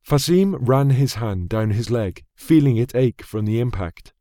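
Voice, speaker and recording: A man narrating a fantasy audiobook in a measured, dramatic storytelling manner on a close studio mic. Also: medium pitch, animated, dark tone, no echo.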